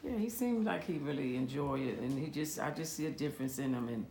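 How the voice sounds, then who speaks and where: A woman's voice: extremely low pitch, lively intonation, brisk, reverberant, noisy background. A woman playing a worried mother in her fifties in a role-played family therapy session, talking hesitantly and searching for words. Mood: earnest. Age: 50s. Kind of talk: improvised role play.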